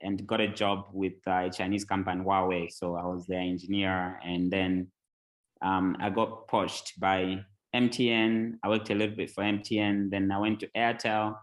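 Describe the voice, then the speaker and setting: A man from Zambia, a tech company founder, talking casually as a podcast guest, relaxed and rambling with many fillers. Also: low voice.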